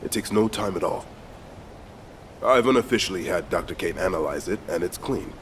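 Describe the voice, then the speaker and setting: A man playing a calm soldier who grows herbs in a video game, speaking in a measured way. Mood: pleased. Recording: faint rumble behind.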